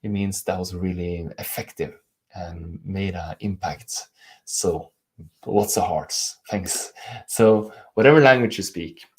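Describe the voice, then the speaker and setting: A man with a Norwegian accent, hosting a live stream as a coach, speaking earnestly and gently while reading out viewer comments. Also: deep voice, takes it slowly, breathy edge. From Norway. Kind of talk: live-stream teaching and chat.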